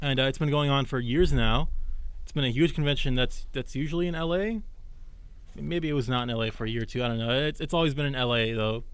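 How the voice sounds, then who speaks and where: A man's voice: medium pitch, fast, clean tone, no room sound. A young adult man co-hosting a college radio video game podcast, explaining things casually and correcting himself as he goes. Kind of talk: casual discussion.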